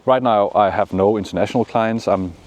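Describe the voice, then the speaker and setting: Mellow-voiced Danish man, calm coaching. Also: lowish voice. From Denmark. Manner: calm, encouraging, with frequent pauses. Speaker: manifestation coach. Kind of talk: casual motivational vlog talk. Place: outdoors in the shade.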